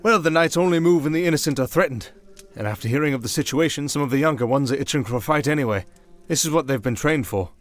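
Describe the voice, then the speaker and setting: A man voicing a kindly, wry old high priest in a fantasy audio roleplay, with fatherly, ceremonious, unhurried phrasing. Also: natural voice. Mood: solemn, wry. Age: older.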